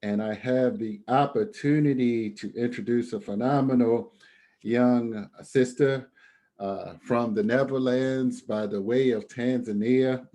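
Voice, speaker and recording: A man's voice: medium pitch, steady tone, unhurried, warm tone. A man hosting an event and introducing the next speaker, friendly, with fillers. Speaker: host.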